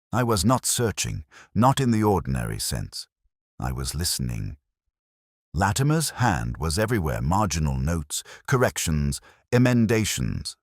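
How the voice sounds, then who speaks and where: A man narrating a detective mystery in the first person, measured, literary and brooding. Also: very deep voice, no echo, clean studio sound.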